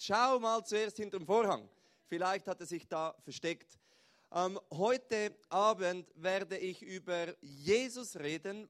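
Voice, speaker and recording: A man with a Swiss German accent preaching in standard German, informal and putting questions directly to his listeners. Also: sits high. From Switzerland. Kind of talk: sermon.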